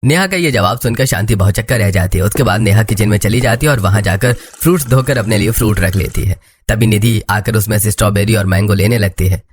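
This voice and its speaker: A man's voice: lowish voice, fast talker, hardly any pauses, breathy edge. A man narrating a scripted cartoon story as its storyteller.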